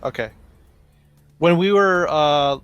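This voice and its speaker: A man's voice: a little high, slow pace, clean tone, muffled recording, faint music behind. A man talking casually as a player in a tabletop roleplaying game.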